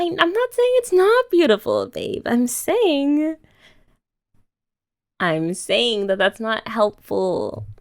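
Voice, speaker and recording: A woman's voice: on the high side, gravelly, exaggerated voice. A young woman voicing a playful, affectionate girlfriend in an ASMR roleplay, chatting casually with giggles and filler words. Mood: flustered.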